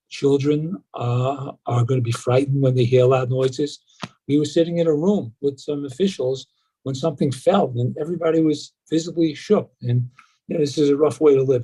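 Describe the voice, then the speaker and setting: A man delivers a briefing over a video call in a mellow, slightly husky voice. He speaks earnestly and reflectively, with sentences that trail and restart. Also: mid-range voice, low-quality recording. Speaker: nonprofit board chair giving an update. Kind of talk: live video briefing remarks.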